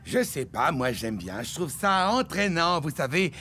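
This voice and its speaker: A man's voice: a little high, sing-song, unhurried, sharp tone, exaggerated voice. A man voicing a dim, cheerful, childishly enthusiastic henchman in a cartoon dub. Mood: delighted.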